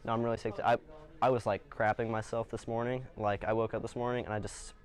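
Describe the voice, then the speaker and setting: A man's voice: medium pitch, level tone, fast talker. Young man mumbling sheepish apologies. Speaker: prankster. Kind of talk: fake-apologetic prank banter.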